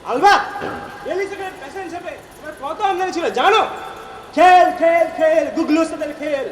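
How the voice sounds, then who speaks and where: An actor playing a cocky young train robber's ghost in a comic stage play, brash, slangy and threatening. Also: male voice, very high voice, brisk. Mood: menacing. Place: theatre stage at a drama festival. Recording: echoey stage recording, audience noise.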